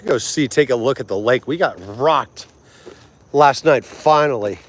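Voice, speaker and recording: A man's voice: medium pitch, slight background noise. Chatty man in his forties. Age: 40s. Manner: chatty, laughs easily, tries foreign words slowly. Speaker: livestream host. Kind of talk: casual livestream chat with viewers.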